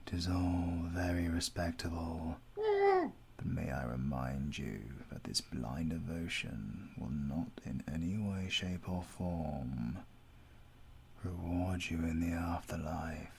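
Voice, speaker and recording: A British man acting a menacing, coldly courteous villain interrogator in an audio roleplay, speaking formally and deliberately, at times dropping into a whisper. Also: low-pitched, big pitch swings, creaky, slight background noise, exaggerated voice. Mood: cold threat.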